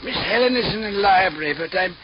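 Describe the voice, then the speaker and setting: An actor playing a prim, fussy, deferential butler in a 1940s radio drama, formal and easily flustered. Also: male voice, sits high, animated, fast. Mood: polite, confiding. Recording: muffled, noisy old radio recording.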